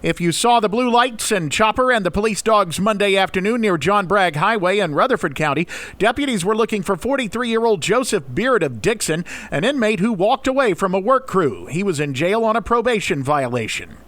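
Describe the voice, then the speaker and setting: This is a man anchoring a radio newscast. His voice sits high for a man. He reads prepared news copy in bulletin style. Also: animated, fairly quick, fairly bright tone, no room sound. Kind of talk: scripted radio news reading.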